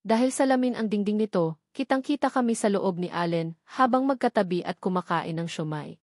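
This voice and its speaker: A woman's voice: mid-range voice, fairly quick, darkish tone, dry recording. Filipino woman narrating, close dry sound. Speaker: audiobook narrator. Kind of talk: scripted first-person story narration.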